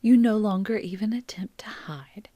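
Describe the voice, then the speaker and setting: A woman playing a grave, protective psychic master in an audiobook, speaking in a breathy, intense whisper. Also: medium pitch, natural voice. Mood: grave, accusing. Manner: hushed, slow, intense. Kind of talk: dramatic audiobook character acting.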